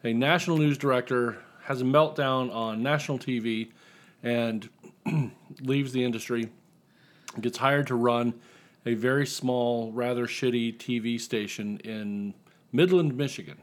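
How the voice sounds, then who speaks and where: A man's voice: medium pitch, fairly even intonation, measured pace. A man speaking as a guest author on a podcast, telling casual stories in a wry, joking way. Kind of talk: casual interview storytelling.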